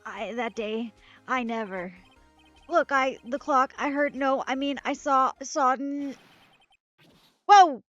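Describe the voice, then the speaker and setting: A woman's voice: sits high, slow pace, long pauses, fairly bright tone. A woman voicing a shifty, nervous male witness who stammers and blusters defensively, over slightly muffled game music. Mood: panicked.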